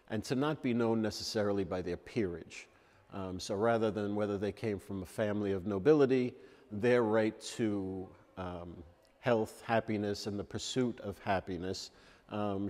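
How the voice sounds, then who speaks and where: An older man, a church elder, explaining church history at an unhurried pace with frequent ums. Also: on the low side, a little mellow. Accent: New York. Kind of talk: interview answers on church history. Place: large church sanctuary with Christmas tree. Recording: long reverb of a large church.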